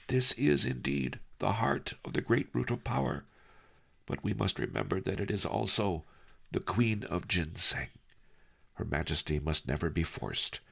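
A man voicing a calm, wily old sage who speaks in a dry, reasoning tone. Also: fairly low pitch, unhurried, vocal fry, husky, pitched above the performer's own voice, heavily acted. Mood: wry.

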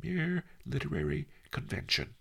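A man voicing a calm, wily old sage who speaks in a dry, reasoning tone. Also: on the high side, moderate pace, gravelly, slightly rough, pitched above the performer's own voice, heavily acted. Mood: wry.

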